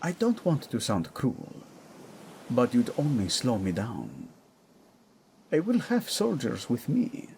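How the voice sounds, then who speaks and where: Audio drama: male actor as devoted military leader and husband, grave, commanding, gravelly delivery. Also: mid-range voice, huge pitch swings, takes it slowly, long pauses, a little mellow, slight background noise, exaggerated voice. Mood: firm, concerned. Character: devoted, commanding husband leading soldiers to rescue his wife.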